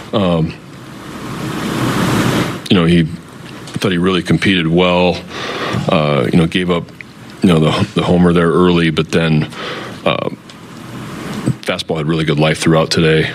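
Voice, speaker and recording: Man, baseball manager, very flat delivery, low voice, halting answers, faint background hum. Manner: halting, understated, hesitant phrasing. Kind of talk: post-game press conference answers. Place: indoor post-game press conference.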